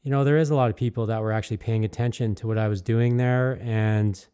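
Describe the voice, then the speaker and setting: A man with a lowish, mellow voice talks through his own work in a loose, reflective way. His tone is smooth and clear, and he wanders through thoughts with plenty of "um"s and self-corrections. He sounds candid and genuinely keen. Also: fairly even intonation, fast. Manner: easygoing and rambling, with many fillers and restarts; candid and enthusiastic. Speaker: muralist narrating his own documentary. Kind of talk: casual reflective voice-over.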